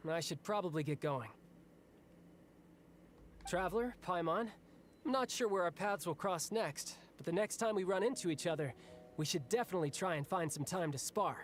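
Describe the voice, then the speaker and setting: A man's voice: high voice, expressive, normal speed. A man playing a cocky, friendly young warrior in a video game, talking in a breezy, easygoing manner. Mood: amused, breezy. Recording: background music under the voice.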